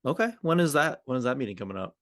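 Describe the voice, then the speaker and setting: A man's voice: medium pitch, expressive, rapid-fire, a bit bright, no room sound, studio quality. A man co-hosting a podcast, talking in an informal, collaborative, conversational manner. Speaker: podcast co-host. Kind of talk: roleplay and conversational coaching discussion.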